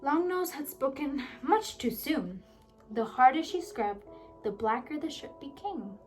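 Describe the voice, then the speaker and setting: A woman reading a children's storybook aloud with lively, expressive narration. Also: high-pitched, measured pace, soft background music, poor audio. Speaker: audiobook reader.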